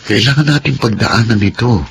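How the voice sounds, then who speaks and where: A man playing an earnest young husband who reasons calmly with his wife in a radio drama. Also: medium pitch, fairly quick, gravelly. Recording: background hiss.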